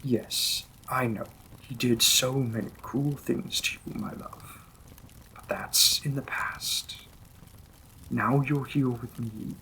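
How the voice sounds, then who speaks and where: A man performs a demon king from a romantic fantasy audio drama. He speaks slowly and intimately in a gravelly, bright-edged voice, formal in his wording and gently teasing, like a protective, possessive lover. Faint noise sits behind him. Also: mid-range voice, low-quality recording. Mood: tender, protective.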